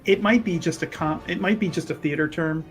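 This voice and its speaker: A man's voice: fairly high pitch, a little fast. A man playing a tabletop game, talking casually and explaining his character's moves in an easygoing way.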